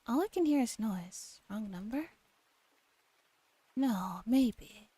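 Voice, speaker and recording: A woman streamer gently reading a visual novel aloud in a soft ASMR style, relaxed and chatting casually, at times dropping to a whisper. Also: a little high, takes it slowly, breathy edge, no echo. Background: background music.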